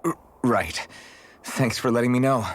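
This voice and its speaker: A man's voice: mid-range voice, a little slow, natural voice. A man playing an earnest, patient young fantasy hero in a video game. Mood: defensive. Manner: earnest, conciliatory.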